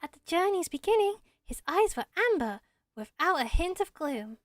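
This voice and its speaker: A woman's voice: very high-pitched, big pitch swings, moderate pace, dry recording, studio quality. A woman voicing a bold little forest spirit in a video game, speaking in a florid, theatrical, poetic manner. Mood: dreamy, poetic. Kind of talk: amateur video game voice acting.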